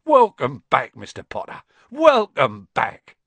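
A man's voice: fairly high pitch, wildly swooping pitch, moderate pace, fairly bright tone, no echo, voice pushed up, heavily acted. A man playing an awestruck old barman. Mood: awed. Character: old bald male barman, reverent.

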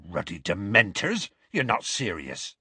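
A huge, grumpy bearded half-giant from a storybook. He speaks gruffly in rustic, muttering phrases, with a husky edge and big swings in pitch, recorded very dry. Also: huge pitch swings, a little slow, pushed and bright, no room sound, full character voice.

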